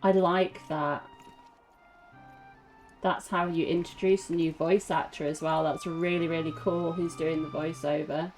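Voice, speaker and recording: Casting: female gamer, chatty and playful, slightly low voice, unhurried, reacting over soft game music. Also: steady tone, poor audio. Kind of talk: casual playthrough commentary.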